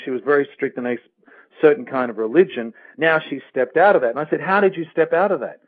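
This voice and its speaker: Aussie guy on a radio interview, thin phone line sound, kinda high voice, earnest life coach talk. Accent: Australian. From Australia. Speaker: guest life coach and hypnotherapist. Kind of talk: motivational interview talk with personal anecdotes.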